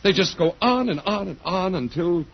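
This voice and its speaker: A man's voice: high voice, lively intonation, normal speed, slightly rough, full character voice. A male actor playing a wry, resigned academic with a detached, lecturing manner in an old radio drama. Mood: explaining, detached. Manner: wry, dry-humored, professorial, casually rambling. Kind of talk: 1950s radio drama acting. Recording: muffled, worn old radio recording.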